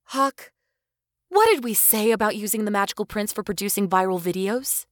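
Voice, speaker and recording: A woman's voice: mid-range voice, moderate pace, no echo, studio quality, close to an everyday voice. An actress playing a stern, exasperated woman in an audio drama, firm and reproving like the responsible one.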